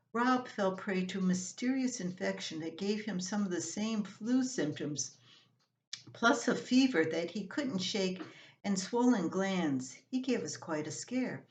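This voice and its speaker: An older woman reading a scripted, humorous family column aloud as its writer, in an expressive storytelling manner. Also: fairly low pitch. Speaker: columnist reading own writing.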